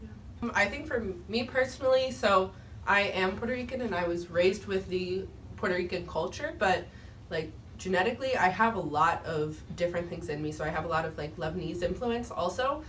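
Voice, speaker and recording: A woman's voice: lowish voice, fairly quick, fairly bright tone. A teenage girl talks in a casual, chatty way, full of little fillers, while speaking on a school panel. The mic sits at a distance, and a light hiss runs underneath. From United States. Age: teens. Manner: chatty, many likes. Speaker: student panelist. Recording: distant mic, faint noise.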